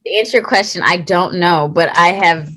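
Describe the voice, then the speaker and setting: A woman in her late twenties from Texas, an actor being interviewed, talking in a chatty, lively way and laughing often. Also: lowish voice, moderate pace, poor audio. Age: late 20s. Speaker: actor guest. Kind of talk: casual interview chat.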